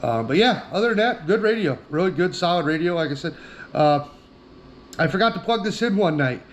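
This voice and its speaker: A man's voice: sits high, expressive, fairly quick, a little hiss or hum. A middle-aged man giving a casual, plainspoken product demonstration as an outdoor gear reviewer, often repeating himself for emphasis.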